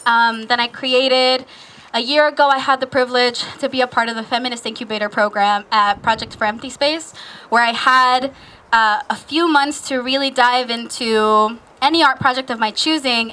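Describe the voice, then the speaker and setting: A woman artist from Newark speaking earnestly to a crowd at an outdoor rally. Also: fairly high pitch. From Newark, New Jersey. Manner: earnest, warm-hearted address to a crowd.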